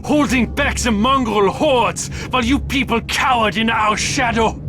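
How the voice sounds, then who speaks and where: An actor playing an unhinged, fatalistic Nazi commander in a video game, bitter and grandiose, with deliberate delivery. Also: male voice, very high voice. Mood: grandiose, defiant. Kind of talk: dramatic video game cutscene acting. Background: loud cinematic music.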